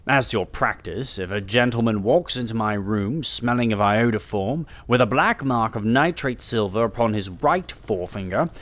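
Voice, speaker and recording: A man's voice: on the low side, expressive, moderate pace, few pauses, fairly bright tone. A man playing a brilliant, self-assured Victorian detective in an audiobook, lecturing in a precise, theatrical way. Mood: brisk, explanatory. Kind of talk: audiobook character acting.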